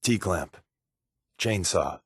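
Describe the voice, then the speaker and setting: An actor voicing a wry, sarcastic undercover agent who tells playful tall tales, as companion lines for a video game. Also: male voice, low-pitched, lively intonation, extremely slow, breathy edge, no echo, studio quality, heavily acted. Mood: joking, sardonic. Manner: wry, joking, sardonic.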